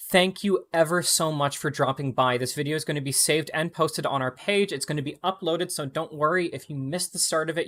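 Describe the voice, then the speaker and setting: A man's voice: on the high side, fairly bright tone. Upbeat Canadian man hosting a live Q&A. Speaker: host reading community questions. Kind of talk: casual livestream Q&A. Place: home room with gaming chair. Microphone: video call.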